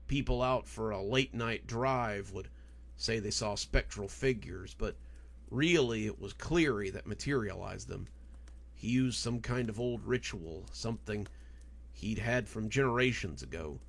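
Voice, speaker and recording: A man voicing a grave, measured male companion telling haunted history in an audiobook. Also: lowish voice, creaky, fairly bright tone.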